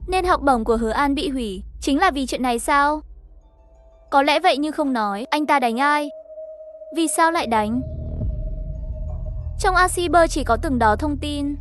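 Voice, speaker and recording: High-pitched woman narrating a story. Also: animated, moderate pace, long pauses, soft background music. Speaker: narrator of an animated horror story recap. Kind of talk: scripted story narration, reading all characters' dialogue.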